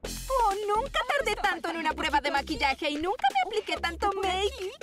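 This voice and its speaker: Vain young cartoon diva: piercingly high, theatrical, impatient, excitable. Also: female voice, normal speed, loud music behind the voice. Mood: excited, impatient. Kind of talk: dubbed cartoon acting.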